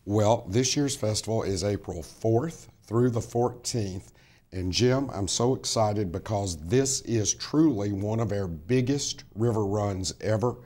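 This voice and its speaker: A man's voice: lowish voice, unhurried, darkish tone. A man speaking enthusiastically and conversationally as an interview guest promoting an event. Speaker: interview guest, film festival director.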